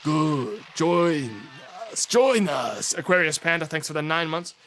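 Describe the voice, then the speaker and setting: A young man with a hoarse, raspy voice, casually chatting to his viewers on a live stream and thanking subscribers in a rambling, offhand way. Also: on the high side, expressive, a little slow, fairly bright tone, a little hiss or hum. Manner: rambling, offhand, trails into mumbles.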